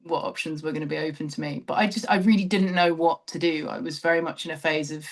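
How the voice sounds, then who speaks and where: A woman's voice: deep voice, steady tone, fast talker. A woman in her thirties from London with a southern English accent, talking reflectively and self-deprecatingly as an interview guest, thinking aloud as she recalls her experiences. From London, England. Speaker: interview guest, theatre director. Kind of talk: conversational interview, personal recollection.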